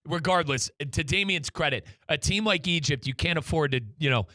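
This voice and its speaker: A man with an American accent hosts a conversational sports show. His voice is bright and forward, with a chatty, emphatic delivery aimed right at the listener. The sound is close and very dry. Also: fairly high pitch, brisk, no room sound. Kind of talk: conversational sports talk with live sponsor reads.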